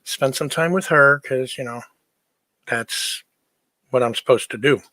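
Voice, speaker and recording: A man's voice: mid-range voice, unhurried, bright, forceful tone, no room sound. A man chatting casually on a livestream, easygoing and informal as he replies to viewers. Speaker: livestream host.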